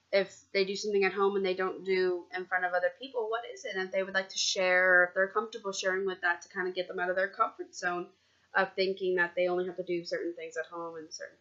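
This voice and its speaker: Bright-voiced woman reading a storybook, lo-fi. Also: medium pitch. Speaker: storybook reader. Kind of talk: children's picture-book read-aloud with casual asides to teachers.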